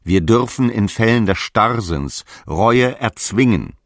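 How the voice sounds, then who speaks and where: A deep, slow, stern sect zealot. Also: male voice, expressive, no echo, studio quality. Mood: stern, grave. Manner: slow, preaching, severe. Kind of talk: solemn radio drama monologue.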